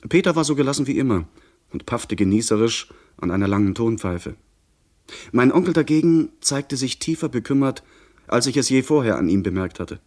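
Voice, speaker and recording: An actor playing a young gentleman who narrates his sea adventure in a literary radio play style. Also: medium pitch, animated, a little fast, natural voice. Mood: narrating.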